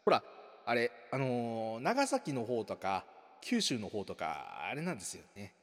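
A man acting an envious, lovelorn young man in a radio drama, earnest, excitable and awkwardly polite. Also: medium pitch, unhurried, long reverb tail, exaggerated voice. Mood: nervous rambling. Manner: excitable, earnest, stammering under nerves.

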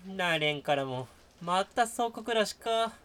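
A man playing a carefree, slow-witted, lecherous university student in an amateur radio drama. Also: high-pitched, expressive, sharp tone, clear, resonant tone, full character voice. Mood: casual.

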